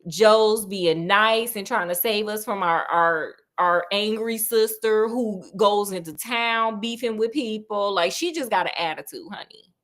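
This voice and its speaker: A woman's voice: mid-range voice, fairly bright tone. A woman storyteller casually retelling a classic novel with sassy, chatty asides.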